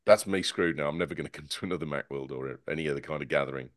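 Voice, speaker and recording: A man on a casual tech podcast panel, talking in an animated, sarcastic way with many stumbling restarts. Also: a bass voice, rapid-fire, dry recording, clean studio sound.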